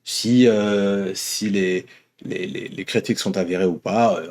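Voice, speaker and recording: A man's voice: on the low side, steady tone, unhurried, a little mellow. A man hosting an online vlog, talking off the cuff in a casual, rambling way, often repeating and rephrasing his words. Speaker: host of an online opinion vlog. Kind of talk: casual unscripted opinion monologue.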